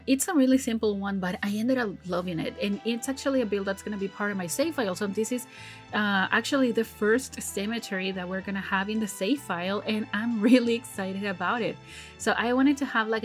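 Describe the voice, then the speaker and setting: A woman in her thirties, recovering from a cold, giving casual, rambling voice-over commentary that she often corrects midway, with frequent laughs. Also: mid-range voice. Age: 30s. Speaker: game builder channel host.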